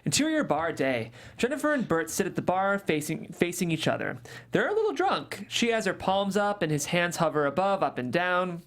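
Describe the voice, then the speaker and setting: A woman's voice: on the low side, very animated, moderate pace. A woman narrating stage directions in a scripted table read.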